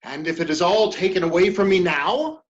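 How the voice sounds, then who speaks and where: A woman's voice: lowish voice, lively intonation, normal speed, lo-fi sound, close to an everyday voice. A woman playing a proud, ambitious male politician in a dramatic play reading, speaking with theatrical emphasis and defiance. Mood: defensive, agitated.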